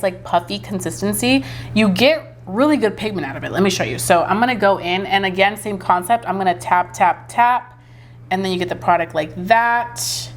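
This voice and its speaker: A woman's voice: medium pitch, slight background noise. A woman vlogging a casual makeup review and demo. She talks in a chatty, filler-heavy, enthusiastic way and sounds a bit congested from a recent cold. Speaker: beauty vlogger.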